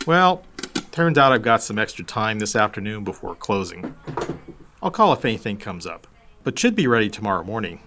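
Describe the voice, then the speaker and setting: An easygoing repair shop guy in an audio drama. His medium-pitched voice rises and falls freely, and he sounds casual and obliging. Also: big pitch swings, moderate pace, background noise. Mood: friendly, obliging. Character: easygoing, helpful male electronics repair shop worker. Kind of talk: naturalistic audio drama acting.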